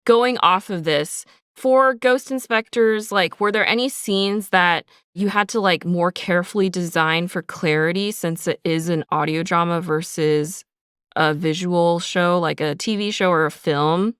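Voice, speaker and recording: A woman's voice: fairly low pitch, measured pace, fairly bright tone, clear, resonant tone, no echo, studio quality. A woman co-hosting a podcast, explaining things in a casual, conversational way and often saying "like". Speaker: audio drama writer, podcast co-host.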